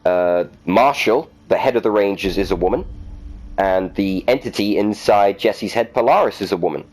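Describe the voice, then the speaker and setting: A man's voice: fairly low pitch, normal speed, a little mellow. A man hosting a gaming video, chatting casually and reading documents aloud in a playfully self-mocking way. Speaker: gaming video host and reader.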